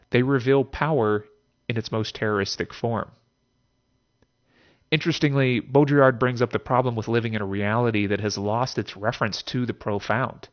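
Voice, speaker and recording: Animated man lecturing, music behind. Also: medium pitch. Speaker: host of a philosophy talk series. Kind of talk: explanatory philosophy monologue, conversational asides. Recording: dull lo-fi sound.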